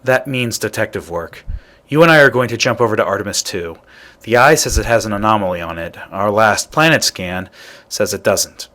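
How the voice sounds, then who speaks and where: A man playing a determined, businesslike space explorer in a video game, speaking in a measured, matter-of-fact way. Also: fairly low pitch, expressive, pushed and bright. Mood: resolute, measured. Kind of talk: video game character dialogue.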